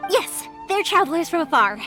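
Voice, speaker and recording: Game role: upbeat young craftswoman, high and animated, eager to help, music behind. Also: normal speed, heavily acted. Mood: friendly. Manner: upbeat, friendly. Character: cheerful, helpful young fireworks maker woman. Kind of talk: video game voice acting.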